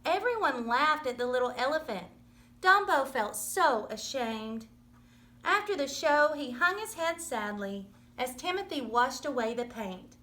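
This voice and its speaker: Animated, bright-voiced woman reading a storybook. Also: high voice, a little slow. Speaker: storybook reader. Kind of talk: expressive children's read-aloud narration.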